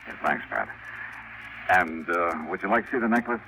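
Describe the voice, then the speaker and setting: An actor playing a wry, hard-boiled insurance investigator in a 1950s radio drama. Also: male voice, deep voice, sing-song, normal speed, creaky, bright, forceful tone, a little hiss or hum, full character voice, poor audio. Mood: wry, dry. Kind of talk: 1950s radio drama acting and first-person narration.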